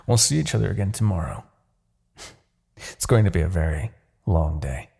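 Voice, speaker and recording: Man acting a smug, gravelly, deep-voiced Demon King. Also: expressive, a little mellow, exaggerated voice. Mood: smug, confident. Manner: taunting, theatrical, drawn-out deliberate phrasing. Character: smug, commanding male Demon King, silkily menacing and possessive. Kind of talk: fantasy ASMR roleplay acting.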